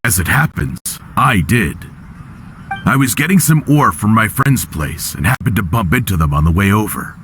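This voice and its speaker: A low-voiced townsman, plain, a bit of noise. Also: very animated, normal speed, a bit bright. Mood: matter-of-fact. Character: helpful townsman fetching ore. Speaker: game character.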